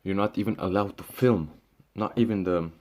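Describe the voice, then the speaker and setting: A young man from Syria rants to his camera with a very low voice. He talks casually and loosely, tripping over restarts and fillers, dropping swear words and "bro" as he goes. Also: normal speed, a little mellow. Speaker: vlogger. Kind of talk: casual ranting monologue.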